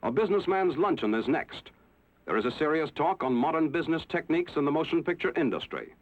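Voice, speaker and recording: 1960s newsreel narrator, tinny sound. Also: male voice, a little high, animated. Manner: measured, formal announcer delivery. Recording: tinny lo-fi old film soundtrack with music.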